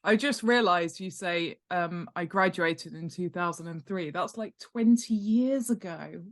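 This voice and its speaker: A woman's voice: lowish voice, measured pace. A woman in her forties chatting casually as a podcast guest, with a playful, joking manner. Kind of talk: casual interview chat.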